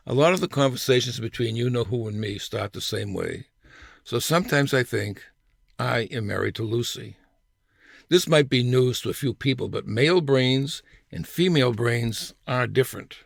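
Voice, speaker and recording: Older guy reading his funny personal essay, wry, lots of fry in the voice, dry close recording. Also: mid-range voice, no room sound. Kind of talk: scripted humorous personal storytelling.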